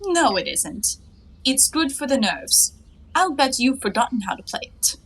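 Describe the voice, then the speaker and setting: Playful, high-voiced young bathing beauty. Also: female voice, big pitch swings, measured pace, a bit bright, exaggerated voice. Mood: flippant, carefree. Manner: airy, teasing, mock-grand phrasing. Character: playful, cheeky, carefree young woman lounging in her bath. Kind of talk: theatrical 1920s stage comedy acting.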